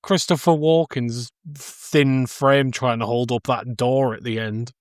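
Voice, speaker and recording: A man's voice: mid-range voice, fairly even intonation, measured pace, no room sound, studio quality. A man co-hosting a podcast, chatting casually about films. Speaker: podcast co-host. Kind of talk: casual film discussion chat.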